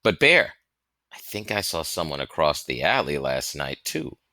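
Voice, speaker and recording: A man's voice: rumbling low, sing-song, moderate pace, bright, forceful tone, no echo, clean studio sound, heavily acted. A man voicing an earnest, anxious young boy with an urgent, slightly timid manner in a storybook reading. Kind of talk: storybook character voice in audiobook reading.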